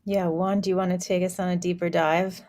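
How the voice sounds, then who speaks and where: Low-pitched woman hosting a panel. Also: level tone, fairly quick, a little mellow. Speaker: host. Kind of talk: casual panel introduction.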